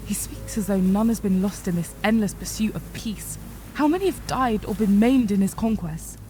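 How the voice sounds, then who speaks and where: A woman playing a protective, wary rabbit heroine in a fantasy game, soft and earnest. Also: medium pitch, normal speed, slight background noise, natural voice, poor audio. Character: protective, wary young female rabbit, gently serious. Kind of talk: video game voice acting.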